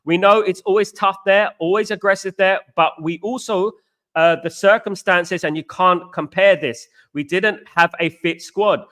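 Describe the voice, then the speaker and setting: A chatty young man from England hosts a casual livestream, greeting viewers by name and repeating his words. His voice sits high and sounds bright and forceful. He keeps a relaxed, talkative flow. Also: moderate pace. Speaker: host.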